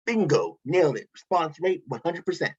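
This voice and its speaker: An actor voicing a smug, flippant villain mastermind in a table read, boastful and wisecracking, with a husky voice. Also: medium pitch, lively intonation, a little fast, a little mellow. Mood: gleeful, defensive. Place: remote online table read. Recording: dull lo-fi call audio.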